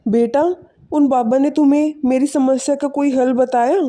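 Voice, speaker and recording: A woman voicing a kindly, lonely older village man in an animated moral story, earnest and fatherly. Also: high-pitched, normal speed, close to an everyday voice. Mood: fatherly, grateful.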